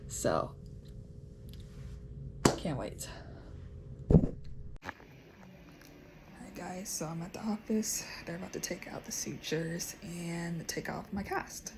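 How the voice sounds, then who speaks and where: A woman giving a casual vlog update in a nasal voice, chatty and halting with frequent ums. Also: on the low side, lively intonation, very noisy. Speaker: vlogger.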